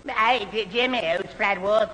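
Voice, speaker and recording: A man's voice: high-pitched, moderate pace, close to an everyday voice. A man playing a dim, nervously inquisitive young man with a hesitant comic stammer in a radio sitcom. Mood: puzzled. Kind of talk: 1970s radio sitcom acting. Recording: noisy old radio recording.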